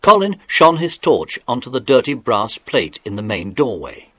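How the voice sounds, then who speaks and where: A man's voice: medium pitch, wildly swooping pitch, moderate pace, fairly bright tone. A man narrating a children's detective adventure as the storyteller of a scripted audiobook drama. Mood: suspenseful storytelling.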